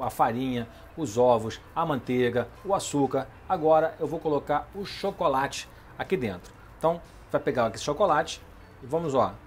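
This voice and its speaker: A man's voice: fairly high pitch, breathy edge. A middle-aged man hosting a home cooking show, chatting casually as he demonstrates a recipe in his kitchen and checking in with the viewer. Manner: chatty, keeps asking "beleza?" and "tá?".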